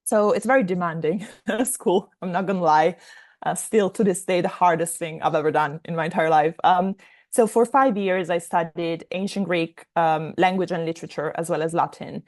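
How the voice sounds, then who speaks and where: A young woman who grew up in Italy and speaks Italian as her first language, with a slightly low voice. She talks as an author being interviewed, thinking aloud and explaining her points in a conversational way. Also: moderate pace, a little mellow. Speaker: interview guest, novelist.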